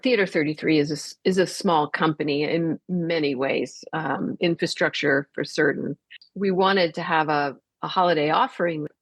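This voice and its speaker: Deep-voiced woman in conversational interview. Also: normal speed, no echo. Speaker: interview guest, theater artistic director.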